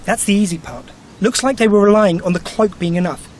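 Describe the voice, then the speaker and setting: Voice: medium pitch, fairly quick, slight background noise, lightly acted. An actor playing a breezy, eccentric time traveler in an audio drama, explaining things in a wry, glib way. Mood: brisk, confident.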